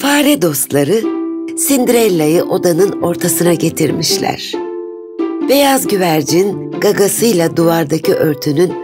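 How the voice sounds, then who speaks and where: A woman storyteller narrates a scripted fairy tale for children. Her voice is low. Instrumental music is clearly present behind the narration. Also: expressive, unhurried.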